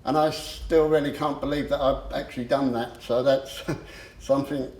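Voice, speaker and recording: A man with a stammer giving a personal talk, slow and halting with frequent pauses and fillers. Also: medium pitch, steady tone, darkish tone. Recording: echoey room.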